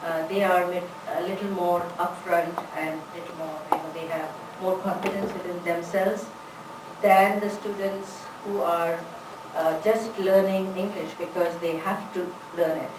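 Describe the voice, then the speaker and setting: A woman's voice: fairly low pitch, fairly even intonation, a little slow. A woman giving a conference talk on language teaching, chatty with many "you know"s. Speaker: conference presenter, English teacher. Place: large conference room. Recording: echoey hall, distant mic, background noise.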